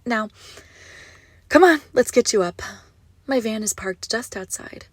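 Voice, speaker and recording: A woman playing an obsessive, gushing yandere kidnapper in a roleplay audio drama, menacingly sweet, with breathy, doting coos. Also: on the high side, animated, unhurried, no room sound. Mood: lovestruck, possessive. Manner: breathy doting coos, often dropping to whispers. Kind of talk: roleplay audio drama, intimate monologue to listener.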